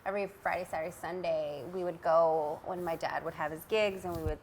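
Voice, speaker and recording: A woman from the Midwest who grew up in Texas, a guest actress telling stories in a casual interview, animated and quick to laugh. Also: low voice, slight background noise. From Midwest US, raised in Texas.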